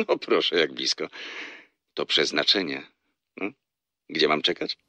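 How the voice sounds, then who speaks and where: Flirting gentleman, audio drama, gravelly bass, animated intonation, courteous, amused. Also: unhurried, no room sound, exaggerated voice. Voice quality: very deep, gravelly. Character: smooth-mannered divorced businessman, amused, gently flirting. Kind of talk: Polish audio drama dialogue.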